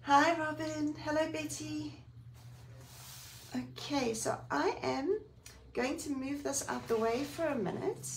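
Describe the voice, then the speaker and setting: A woman hosting a live Bible journaling stream, chatty and friendly, greeting viewers by name, a little croaky today. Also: sits high. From South Africa. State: slightly croaky. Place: indoors at a craft table. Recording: distant mic, faint room noise.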